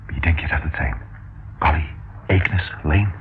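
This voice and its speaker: An actor playing a middle-aged detective's sidekick, dry and grumbling, in a radio drama. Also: male voice, rumbling low, unhurried. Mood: sceptical, grumbling. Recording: noisy, tinny old radio recording.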